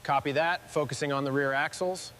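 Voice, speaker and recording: A man's voice: fairly high pitch, fairly even intonation, brisk, a bit bright. A man working as a mechanic on a restoration crew, making short workshop call-outs. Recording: reverberant hall with clear background noise.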